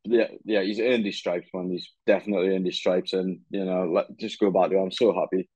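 A man's voice: low voice, steady tone, brisk, a little mellow, slightly rough, dry recording, dull audio. A young man chatting casually about fights over a video call, laid-back and peppering his talk with "yeah, man". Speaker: podcast host. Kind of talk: casual fight-recap chat.

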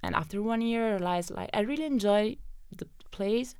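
A woman's voice: mid-range voice, normal speed, darkish tone, clear, resonant tone, no echo. A young woman from southern Italy with an Italian accent, chatting as a podcast guest and telling her story with frequent fillers and laughs. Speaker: guest, data scientist. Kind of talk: casual interview storytelling.

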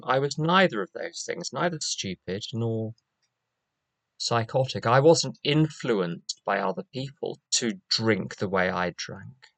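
An older man with a London accent, giving a measured, wry personal share at a recovery meeting. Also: mid-range voice, a bit bright, dry recording. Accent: London English. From England. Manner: measured, wry and reflective, with fillers. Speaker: AA meeting speaker. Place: meeting room.

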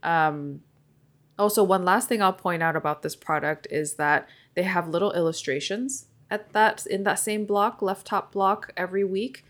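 A woman's voice: on the low side, moderate pace. A young woman co-hosting a podcast, born in Korea with Korean as her first language, chatting casually and explaining in detail with lots of "um"s. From South Korea. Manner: explains in detail with many fillers. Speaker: podcast co-host. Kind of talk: casual chat.

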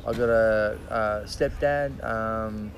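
Halting man with lots of fillers. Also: lowish voice, steady tone, a little hiss or hum. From Australia. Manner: halting, many fillers and restarts, swears casually. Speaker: podcast guest. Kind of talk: casual interview chat.

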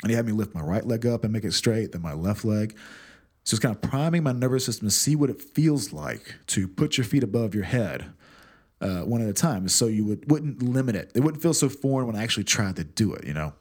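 Man, lower voice, profane and animated casual storyteller, self-deprecating. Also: brisk, husky. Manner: often repeats and restarts words.

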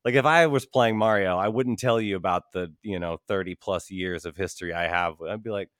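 A man's voice: low-pitched, fairly quick, fairly bright tone, no echo, studio quality. A man in casual podcast chat as a host, loose and profane, often acting out imaginary quotes. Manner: peppers talk with acted-out imaginary quotes.

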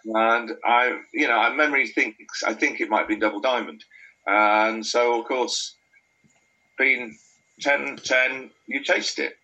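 A man's voice: mid-range voice, slow pace, pushed and bright, dull audio. A man with a North Welsh accent, a beer writer chatting casually and rambling through anecdotes with many restarts. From Wales. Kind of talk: casual chat.

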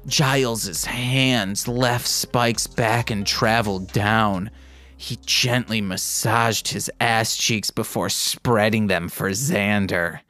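A man's voice: lowish voice, steady tone, takes it slowly, sharp tone, no room sound, soft background music. A man hosting a podcast, reading fan fiction aloud in an irreverent way and breaking off into casual, joking asides to listeners. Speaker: podcast host.

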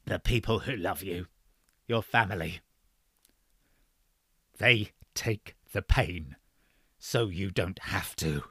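A man's voice: mid-range voice, animated, a little slow, long pauses, sharp tone, no room sound, studio quality, voice pushed up. A man voicing a stubborn dying old man. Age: older. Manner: gruff, insistent, weary.

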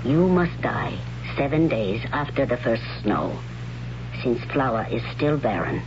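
A stern, solemn priestess of a tribe of warrior women, speaking in a hushed, whispery way, in a mid-range voice. The sound is a dull old radio broadcast with steady hiss behind it. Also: male voice, mid-range voice, unhurried. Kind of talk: 1970s radio drama acting.

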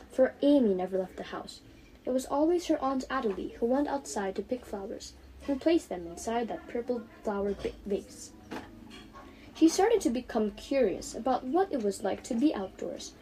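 High-voiced teenage girl reading a story. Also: expressive, moderate pace, warm tone, poor audio. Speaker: author reading her own story. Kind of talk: storybook read-aloud narration.

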